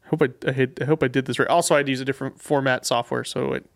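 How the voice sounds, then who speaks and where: A man's voice: mid-range voice, fairly even intonation, very fast, vocal fry. A man chatting casually as a podcast guest, in a self-deprecating and slightly nervous way. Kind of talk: casual chat.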